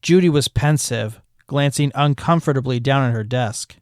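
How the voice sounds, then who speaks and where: A man narrating a fan fiction story with expressive storytelling. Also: medium pitch, normal speed, no room sound, clean studio sound. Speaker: fanfic reader. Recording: music bed behind voice.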